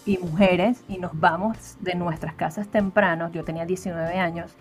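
Venezuelan woman chatting on a podcast, thoughtful, lots of ehs, soft music under. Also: lowish voice, steady tone, normal speed. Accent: Venezuelan Spanish. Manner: reflective, with frequent fillers. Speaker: podcast guest, designer. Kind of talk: conversational interview.

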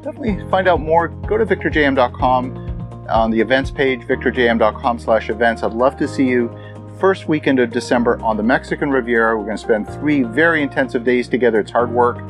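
A man's voice: mid-range voice, a little fast, music in the background. A man hosting a podcast, speaking in an upbeat, motivational way straight to his listeners. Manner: upbeat, motivational, direct address. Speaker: podcast host. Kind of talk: podcast monologue and interview.

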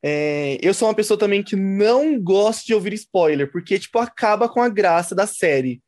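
A man's voice: high voice, lively intonation, moderate pace, darkish tone. A man with a Brazilian accent chatting casually as a cast member on a show. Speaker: actor on the show. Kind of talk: casual chat.

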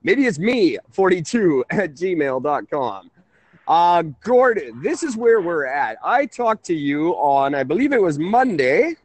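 A man hosting a conversational call-in radio show, halting and often interrupting himself. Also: a little high, sing-song, no echo. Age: 30s.

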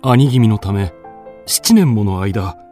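An actor playing a dignified, lovestruck duke in a radio drama, speaking in a lordly, formal, theatrical and earnest way. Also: male voice, lowish voice, animated, slow pace, warm tone, soft background music. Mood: somber.

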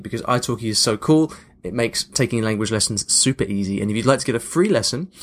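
A man hosting a podcast in a casual, chatty monologue, reflective and emphatic on key points. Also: medium pitch. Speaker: podcast host.

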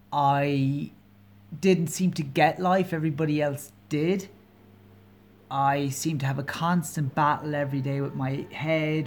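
A fortyish man from Kerry, speaking with an Irish accent and a voice pitched a little above the usual male range. He hosts a solo podcast, talking candidly and earnestly, with plenty of pauses, ums and restarts. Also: a little hiss or hum. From Ireland. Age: 40s. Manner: hesitant and earnest, many pauses and fillers; candid, openly personal. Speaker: podcast host.

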